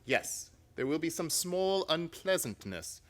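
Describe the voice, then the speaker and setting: A man playing a confident, commanding gentleman detective in a theatrical radio play. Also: a little high, big pitch swings, measured pace. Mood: instructing.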